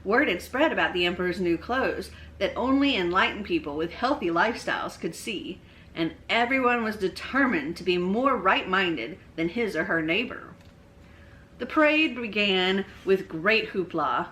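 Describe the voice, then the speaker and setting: Bright-voiced woman reading a bedtime story. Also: medium pitch, lively intonation, moderate pace, a little hiss or hum, poor audio.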